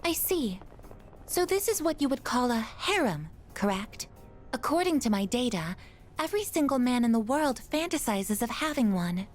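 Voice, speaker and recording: A woman's voice: a little high, sing-song, normal speed, soft background music. A woman voicing a polite, dutiful android combat weapon in a video game, speaking in formal, precise, earnest phrases. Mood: matter-of-fact. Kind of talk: anime video game voice acting.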